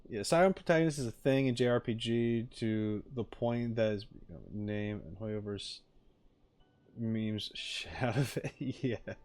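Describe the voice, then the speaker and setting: A man's voice: mid-range voice, measured pace, a little mellow, smooth, no echo. Casual male streamer, dry close sound. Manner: opinionated, jokey, conversational. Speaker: streamer reacting to game clips. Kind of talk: casual livestream chat.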